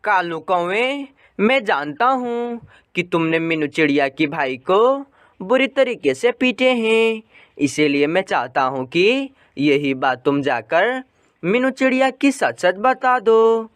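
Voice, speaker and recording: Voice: medium pitch, animated, takes it slowly, no echo. A narrator voicing an innocent, puzzled male sparrow husband in a cartoon moral story. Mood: firm pleading.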